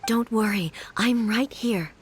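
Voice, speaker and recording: A woman playing a composed, solemn shogun in a video game, speaking formally and in a measured, soothing way. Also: a little high, a little hiss or hum, lightly acted. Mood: calm reassurance. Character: regal, composed female shogun, calm and solemn. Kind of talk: video game character acting.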